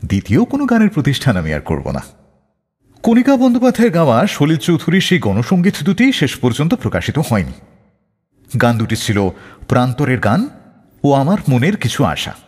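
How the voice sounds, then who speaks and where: Husky man with very animated pitch. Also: a little fast. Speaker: radio show host. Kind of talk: scripted radio narration with anecdotes between songs. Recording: long hall-like reverb, faint music behind. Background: faint music.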